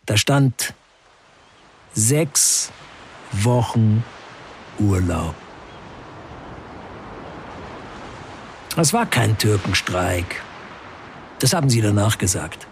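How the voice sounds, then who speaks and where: A man playing a defiant 1970s factory worker on strike, recounting events in a deliberate, declamatory radio play narration. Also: on the low side, animated, gravelly, slight background noise, exaggerated voice. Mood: bitter, defiant. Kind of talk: dramatic first-person radio play narration.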